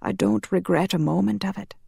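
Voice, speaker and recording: A narrator voicing a gentle, forgiving old male priest who is dying, speaking in a weak, kindly, resigned way in an audiobook. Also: mid-range voice, fairly quick, vocal fry, husky, no echo, studio quality, voice pushed up.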